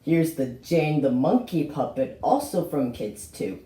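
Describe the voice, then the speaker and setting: A host narrates a homemade puppet show in a mid-pitched, very animated voice. The delivery is theatrical and given to exclaiming, like a storyteller. The recording is roomy and echoey, with a little noise. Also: unhurried. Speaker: host showing a puppet collection. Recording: roomy echo with faint noise.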